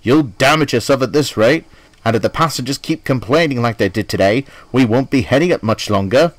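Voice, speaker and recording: A man voicing a stern, steady male engine driver. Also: medium pitch, a little fast, dry recording, close to an everyday voice.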